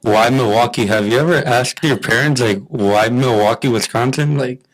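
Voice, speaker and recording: A man's voice: mid-range voice, moderate pace, poor audio. A young man hosting a podcast, friendly and slangy, asking his guest questions in a casual tone. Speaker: podcast host.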